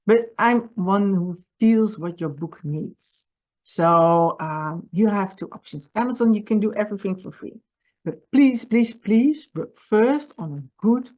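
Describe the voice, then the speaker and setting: Dutch-accented woman publisher, middle-pitched, earnest and halting, on a slightly muffled recording. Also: a little mellow. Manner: earnest, halting, repeats words and restarts. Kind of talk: personal video message with writing advice.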